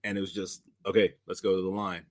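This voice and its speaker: Low-voiced man chatting casually. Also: level tone. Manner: halting, rambling, repeats himself. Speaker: director and podcast host. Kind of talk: casual behind-the-scenes chat.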